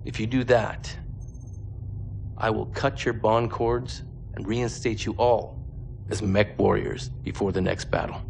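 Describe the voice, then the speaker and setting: An actor playing a grave, principled male mech commander in a game cutscene, speaking in a formal, measured, commanding way. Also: fairly low pitch, fairly even intonation, creaky, a little mellow. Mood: stern, measured. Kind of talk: dramatic video game cutscene acting. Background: dramatic music.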